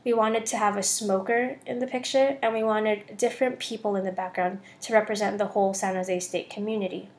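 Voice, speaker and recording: A woman's voice: mid-range voice, fairly even intonation, a little fast, hardly any pauses. Student presenter, young American female, mid-range voice, scripted slideshow narration. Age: young adult.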